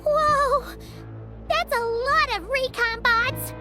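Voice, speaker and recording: A woman voicing a tiny, childlike, excitable companion in a video game, exclaiming emphatically. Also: very high-pitched, slow. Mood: excited. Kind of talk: English video game voice acting. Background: loud music.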